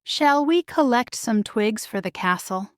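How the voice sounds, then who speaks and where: A woman voicing a refined, caring queen and mother in a children's storybook, proper, measured and delicately formal. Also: on the high side, expressive, clean tone, dry recording, clean studio sound. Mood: gently instructive. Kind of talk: children's storybook character reading.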